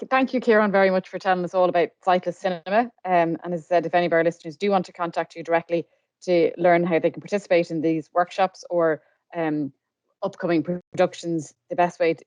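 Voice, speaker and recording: Irish female podcast host, deep register, informative and conversational, very dry sound. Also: a little mellow, no room sound, lo-fi sound. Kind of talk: conversational interviewing with informational announcements.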